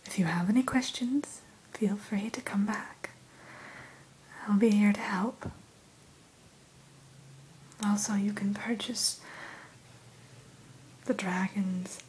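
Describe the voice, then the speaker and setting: A woman whispering a gentle, courteous ASMR roleplay as the keeper of a fantasy creature shop, in a breathy voice. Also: mid-range voice, low-quality recording. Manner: slow, gentle, courteous shopkeeper patter. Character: gentle, courteous female keeper of a dragon hatchery. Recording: steady hiss behind close whisper.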